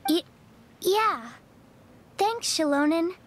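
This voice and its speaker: A woman's voice: very high voice, lively intonation, slow, full character voice. A woman voicing a small, frail little girl in a video game, childlike, polite and hesitant. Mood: timid, hesitant. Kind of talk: video game character acting.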